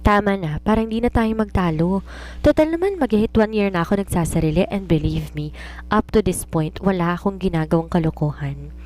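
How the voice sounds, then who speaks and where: A woman's voice: lowish voice, expressive, fairly quick, darkish tone, lightly acted, low-quality recording. A woman voicing an independent, teasing young daughter who writes romances, playful and reasoning in mixed Tagalog and English. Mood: placating.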